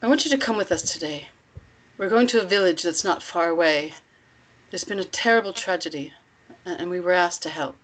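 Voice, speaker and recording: A woman's voice: fairly low pitch, a little fast, close to an everyday voice, rough recording. A woman playing a stern, vengeful, commanding woman who protects wronged children, in a screenplay table read. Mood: calm, authoritative.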